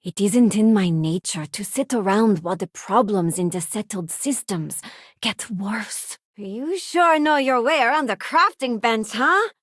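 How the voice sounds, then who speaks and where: A woman's voice: mid-range voice, expressive, a little slow, a bit bright, no echo. A young working-class woman aboard a starship in a science fiction game. She is principled and self-assured, with an earnest, plain-spoken delivery. The sound is dry, with no room around the voice. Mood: friendly, upbeat. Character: principled working-class woman spacefarer, confident, idealistic crew companion. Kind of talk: video game companion voice lines.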